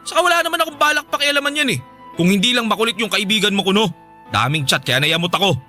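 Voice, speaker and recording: Forceful, high-pitched, bitter accusing husband. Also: male voice, lively intonation, fast talker, soft background music, heavily acted. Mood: accusing, angry. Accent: Filipino. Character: bitter, suspicious lame husband confronting his cheating wife. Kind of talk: Tagalog radio drama acting.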